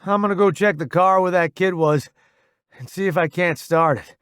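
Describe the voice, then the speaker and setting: A man voicing a determined, protective soldier in an audiobook, speaking bluntly and forcefully. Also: sits high, moderate pace, smooth, no echo, studio quality, voice pushed up. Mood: resolute. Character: determined, weary male soldier, gruff and protective.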